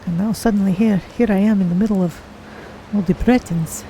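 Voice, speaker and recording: A woman voicing a curious, polite cat-person adventurer, musing in measured, formal words as she plays a video game. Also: mid-range voice, mellow, slight background noise, natural voice, rough recording. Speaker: game streamer. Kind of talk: in-character gameplay commentary.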